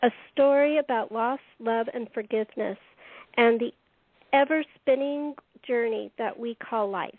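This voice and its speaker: A woman narrates a scripted radio play in a fairly high voice. She reads out scenes and stage directions as the narrator and host. The sound is thin and phone-like. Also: unhurried. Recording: echoey room, tinny phone-like sound.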